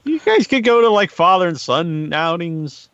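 A middle-aged man hosting a podcast in casual, joking chat, talking in a rambling, halting way with crude humour. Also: sits high, big pitch swings, fairly bright tone, no echo. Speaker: podcast host. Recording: muffled lo-fi online stream audio.

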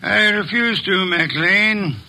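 A man's voice: high voice, slow, bright, forceful tone, heavily acted. An actor playing a shrewd, formal male detective who speaks in a precise, commanding way, in 1940s radio style.

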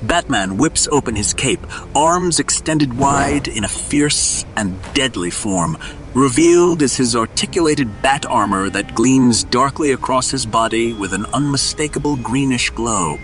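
A man's voice: mid-range voice, expressive, unhurried. A man narrating a scripted audio drama in a dramatic storytelling style. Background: music.

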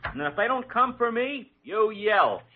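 A man's voice: high voice, lively intonation, a little slow, slight background noise. An actor playing an earnest, naive young American expectant father in an old-time radio drama, boyish and gushing. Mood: anxious. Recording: dull vintage radio recording.

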